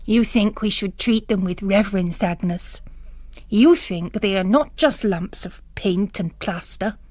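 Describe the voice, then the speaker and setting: A woman as a weary, sardonic priest, slow-spoken. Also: mid-range voice, darkish tone, no echo, natural voice. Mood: grave. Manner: grave, wry.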